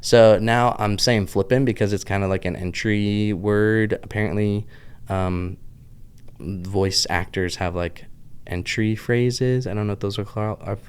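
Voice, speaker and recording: A man's voice: fairly low pitch, fairly even intonation, a little slow, smooth. A man in his thirties with a Pittsburgh accent touched by the Southern US, talking in a chatty, tangent-hopping vlog monologue full of "like". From Pittsburgh, USA. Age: 30s. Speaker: vlogger host. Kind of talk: casual rambling monologue.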